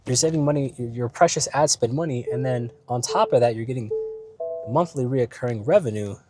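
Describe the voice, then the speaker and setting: A man in a car chatting loosely about business, voice worn from being ill. He rambles with fillers and often tosses in a quick "right?" to the listener. His pitch sits in an ordinary middle range. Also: dark tone, soft background music. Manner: rambling, filler-heavy, keeps asking "right?". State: ill, voice starting to go. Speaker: business vlogger. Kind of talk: casual talk while driving.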